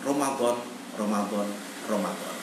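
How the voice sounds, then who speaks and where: A man preaching as an Islamic teacher, speaking in an emphatic, deliberate oratorical way and repeating phrases for emphasis. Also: lowish voice. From Indonesia. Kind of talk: online sermon on history. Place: echoey room, online video meeting. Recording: echoey, noisy room, distant online-meeting audio.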